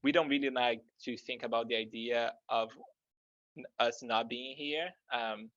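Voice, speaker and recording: A man's voice: medium pitch, steady tone, a little slow, a bit bright, no room sound. A man from Brazil with a Brazilian accent, explaining financial planning in a conversational talk, hesitating often with many fillers. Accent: Brazilian Portuguese. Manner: hesitant, heavy with "um" and "you know" fillers. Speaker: financial research guest. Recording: dull lo-fi dry sound.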